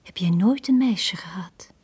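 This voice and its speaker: A very breathy, lonely young woman. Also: mid-range voice, lively intonation, a little slow, darkish tone. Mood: wistful. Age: young adult. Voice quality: breathy, husky. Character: lonely, pleading young woman, frightened yet proud. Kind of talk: radio drama acting.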